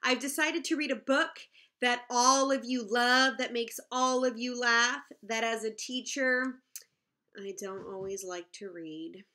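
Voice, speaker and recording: A woman's voice: a little high, unhurried, bright, forceful tone. A woman in her thirties, a teacher casually addressing young pupils and their families from a room at home. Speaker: kindergarten teacher. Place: small room at home, indoors.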